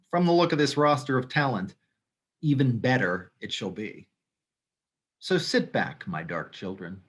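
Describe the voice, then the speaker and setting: A man presenting as playwright and actor, giving a scripted, wordy introduction in an articulate, theatrical manner. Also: medium pitch.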